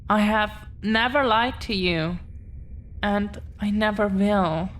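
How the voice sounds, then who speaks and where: A woman's voice: mid-range voice, takes it slowly, slight background noise, close to an everyday voice. A woman playing a nervous, protective dragon-blooded girlfriend in an intimate audio roleplay, speaking hesitantly, earnestly and tenderly. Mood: earnest, devoted.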